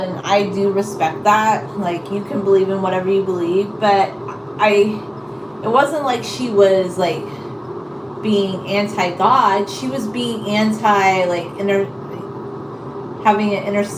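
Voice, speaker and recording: A woman's voice: mid-range voice, fairly even intonation, measured pace. A woman chatting casually to viewers in a rambling, hesitant, apologetic way. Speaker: live-streamer chatting with viewers. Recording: echoey room, distant mic, loud background noise.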